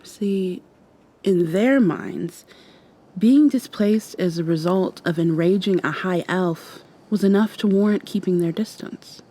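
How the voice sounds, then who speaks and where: A woman playing a gentle, affectionate young vampire in an intimate ASMR roleplay, speaking softly with thoughtful pauses. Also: lowish voice, breathy edge. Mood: serious, explanatory. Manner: soft, tender, unhurried, thoughtful pauses. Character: gentle, affectionate young female vampire confiding in her girlfriend.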